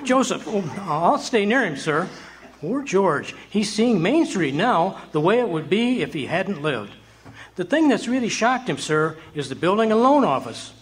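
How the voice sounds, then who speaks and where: A man plays an ancient angel with a childlike, earnest temper in a radio play. The voice is high and swings freely up and down, over faint room noise from a live performance. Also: sing-song, a little slow. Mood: earnest, concerned. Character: gentle, childlike, nearly 300-year-old male angel, earnest and cheery. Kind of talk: live radio drama acting.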